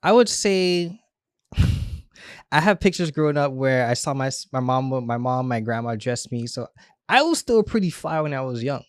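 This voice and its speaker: A man's voice: medium pitch, normal speed, smooth, dry recording, studio quality. Laid-back man telling childhood stories. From Trinidad and Florida. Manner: laughs often, relaxed storytelling with restarts. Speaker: stylist guest. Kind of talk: casual interview chat.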